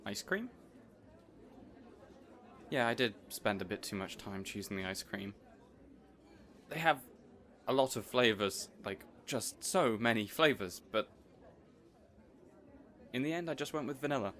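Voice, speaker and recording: A man's voice: mid-range voice, lively intonation, unhurried, long pauses, fairly bright tone. Man as eager supervillain boss, boyish, caring, earnest, hesitant rambling delivery, audio roleplay. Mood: defensive, cheerful.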